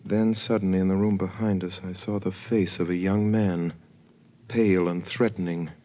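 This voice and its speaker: A man's voice: low voice, steady tone, moderate pace, phone-quality sound, close to an everyday voice. An actor in his twenties narrating and playing an eager young sailor in a 1930s radio drama, earnest in manner, on a hissy old recording. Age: 20s. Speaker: narrator and lead.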